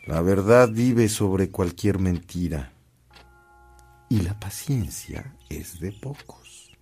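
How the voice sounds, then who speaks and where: An actor playing a humble, forbearing priest in a radio drama, speaking in a measured, earnest way. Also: male voice, deep voice, animated, a little mellow, husky, faint music behind, heavily acted. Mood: calm, earnest. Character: humble, forbearing priest, adult man of calm conviction.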